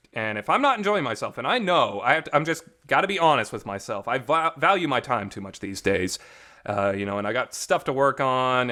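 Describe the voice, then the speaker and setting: A man with a bright, forceful voice at an average pitch talks off the cuff like an opinionated vlog reviewer. He is blunt and rambling, doubles back on words for emphasis and swears freely. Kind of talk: casual opinionated talk, unscripted review. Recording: reverberant home recording.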